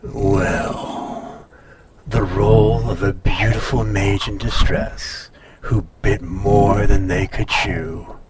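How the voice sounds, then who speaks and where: A man playing a proud, teasing ancient dragon in an intimate roleplay audio, speaking in a condescending, often hushed and breathy way. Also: fairly low pitch, steady tone, slow, a little hiss or hum. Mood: smug, solicitous.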